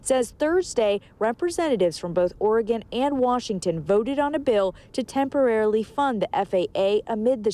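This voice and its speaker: A woman's voice: medium pitch, dark tone. A woman field reporter delivering a live TV news report from outside an airport. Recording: clearly noisy outdoor airport with traffic.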